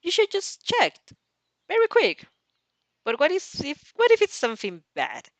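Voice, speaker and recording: A woman reading fan fiction aloud in an expressive way, breaking off for casual reactions and restarts. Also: very high voice, unhurried, no echo.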